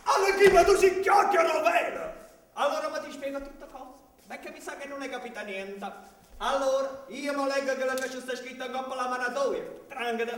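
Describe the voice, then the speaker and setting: A man's voice: very high voice, fairly even intonation, brisk. A man playing a pushy, indignant comic servant in stage commedia, with an emphatic southern Italian dialect delivery. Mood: bossy. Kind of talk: commedia dell'arte stage comedy. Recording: very echoey hall, distant mic, noisy.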